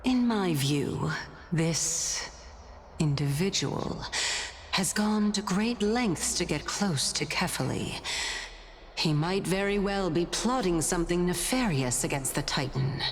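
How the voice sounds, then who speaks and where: Game role: ancient spirit, proud and teasing, drawling slowly in a slightly low voice. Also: female voice, lively intonation, slight background noise. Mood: haughty, playful. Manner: playful, self-important, drawling. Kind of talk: video game voice acting. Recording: loud music under the voice.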